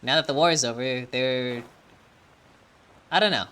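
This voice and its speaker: A woman's voice: rumbling low, moderate pace, a bit bright, clean tone. A woman streamer giving casual let's-play commentary, stammering and swearing freely as she reacts to the story. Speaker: gaming streamer.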